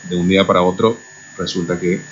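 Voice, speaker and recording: A man's voice: sits low, fairly even intonation, brisk, slight background noise. A man with a Venezuelan accent hosting a radio interview, speaking thoughtfully and hesitantly, with many fillers. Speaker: radio host. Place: radio studio. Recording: muffled lo-fi radio recording.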